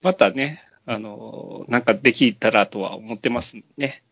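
A man co-hosting a podcast, chatting casually about films in polite but relaxed speech, often chuckling as he talks. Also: medium pitch, a little slow, no room sound. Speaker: podcast co-host. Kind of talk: casual film chat.

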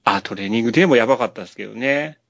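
A man's voice: mid-range voice, rapid-fire, gravelly, dry recording, studio quality. A man co-hosting a podcast, casually discussing films and chiming in with agreement. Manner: reactive, agreeing interjections. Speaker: podcast co-host.

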